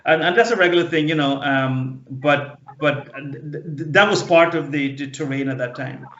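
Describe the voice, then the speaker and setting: A man in his fifties, a guest on a panel, recalling his own past in a halting, earnest way and often restarting words. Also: fairly high pitch, fairly bright tone. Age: 50s. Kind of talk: personal recollection in a panel discussion. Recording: dull, echoey remote audio.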